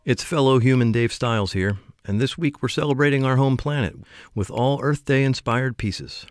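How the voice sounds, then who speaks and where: A man's voice: mid-range voice, normal speed, no room sound, studio quality. A man hosting a podcast, reading a scripted introduction in a playful way. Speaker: podcast host.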